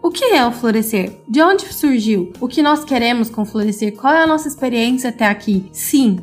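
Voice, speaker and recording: Upbeat woman hosting a podcast. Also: on the high side. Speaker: podcast host. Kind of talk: scripted podcast intro.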